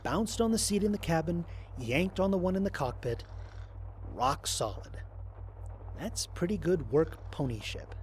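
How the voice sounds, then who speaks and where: A man playing a weary, wry human astronaut narrating his mission log in an audio drama, with a dry, expressive storytelling delivery. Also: fairly high pitch, unhurried, a little hiss or hum. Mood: businesslike.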